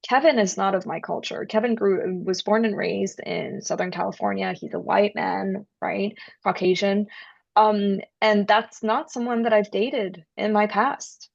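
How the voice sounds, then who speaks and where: A woman with a gravelly, creaky edge to her voice explains ideas earnestly, pausing to check in with the listener. The recording is dry and a little dull, with a lo-fi quality. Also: mid-range voice, fairly even intonation, no room sound. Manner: earnest, explains with frequent "right?" check-ins. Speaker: author and guest. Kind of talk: explanatory interview talk.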